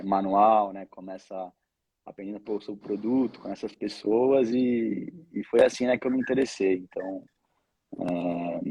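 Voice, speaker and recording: A man, chatting as an interview guest in a halting way, often checking for agreement. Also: fairly low pitch, mellow, husky. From born in Argentina, raised in Brazil from age two. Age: 20s. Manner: halting, seeks agreement with tag questions. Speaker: guest, family air-curtain business representative. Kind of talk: casual interview talk. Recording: dull, lo-fi online call audio.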